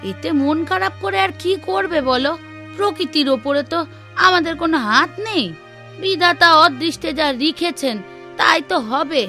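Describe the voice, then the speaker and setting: Casting: cartoon village woman, high-pitched, piercingly bright, emphatic and expressive. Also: moderate pace, soft background music, heavily acted. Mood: plain, concerned. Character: village women: maid, mistress, wife, daughter. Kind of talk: Bengali children's cartoon voice acting.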